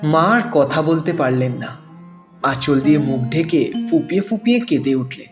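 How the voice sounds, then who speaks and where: A male storyteller delivers scripted narration for a cartoon tale. His voice sits a little high and his delivery is emotive and dramatic, with instrumental music behind him. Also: animated, normal speed.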